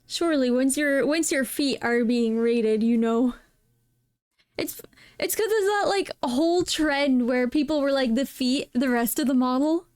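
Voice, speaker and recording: A woman's voice: high voice, a little slow, darkish tone, clear, resonant tone, dry recording, studio quality. A woman hosting a casual interview chat, giggly, often repeating her words. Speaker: podcast host.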